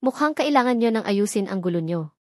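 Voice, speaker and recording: A woman's voice: mid-range voice, lively intonation, a little fast, a little mellow, no room sound, studio quality, close to an everyday voice. A woman with a Filipino accent voicing a betrayed young woman in a romance story, speaking with cold, hurt bitterness. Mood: cold, bitter. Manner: cold, emotionless.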